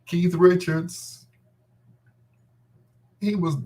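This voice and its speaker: A man's voice: sits high, steady tone, extremely slow, mellow, rough recording. A man hosting a talk show with a casual, unscripted monologue, gossipy and emphatic, often repeating his phrases. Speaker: host of a bedtime talk show.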